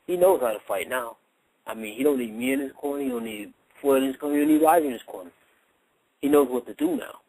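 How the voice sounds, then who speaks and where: A man's voice: on the high side, sing-song, fast, creaky, warm tone, a little hiss or hum, low-quality recording. A man talking casually as a boxing trainer in a radio interview, explaining his points with emphasis and repetition. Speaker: boxing trainer, guest analyst.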